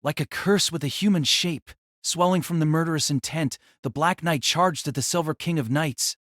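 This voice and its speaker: A man's voice: fairly high pitch, moderate pace, a bit bright, no room sound, clean studio sound. A man narrating an audio drama in a dramatic, scripted style that rises and falls with the action.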